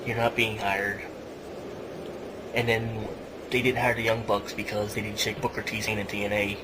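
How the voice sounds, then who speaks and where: Man hosting a podcast, clear background noise. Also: on the low side, flat delivery, moderate pace. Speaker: podcast host. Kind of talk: casual wrestling news commentary. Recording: noisy home podcast recording.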